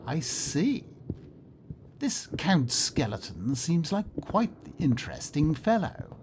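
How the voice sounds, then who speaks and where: A man's voice: fairly high pitch, sing-song, slow, a little mellow, slight background noise. Man acting a swooping, theatrical Victorian nobleman. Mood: curious, polite. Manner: melodramatic, genteel, wordy. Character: curious, polite Victorian nobleman narrating his eerie adventure. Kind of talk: theatrical radio drama narration and dialogue.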